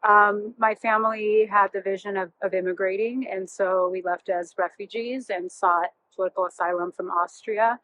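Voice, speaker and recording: Bright-voiced woman, native Russian speaker, background noise. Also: mid-range voice, steady tone, normal speed, hardly any pauses, muffled recording. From born near Chernobyl, emigrated as a child to California. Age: 30s. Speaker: interview guest, realtor and former firefighter. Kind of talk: casual personal storytelling in an interview.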